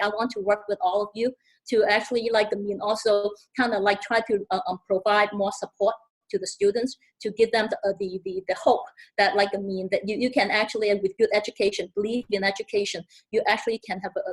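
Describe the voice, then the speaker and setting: A middle-aged woman from Hong Kong with a Hong Kong accent, speaking on a webinar panel, halting with many fillers and repeated words. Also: medium pitch, fairly even intonation, breathy edge, rough recording.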